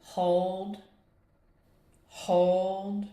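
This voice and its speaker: A woman leading a guided meditation as a yoga nidra teacher, giving gentle, unhurried, inviting instructions with pauses. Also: mid-range voice, little pitch movement. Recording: echoey room.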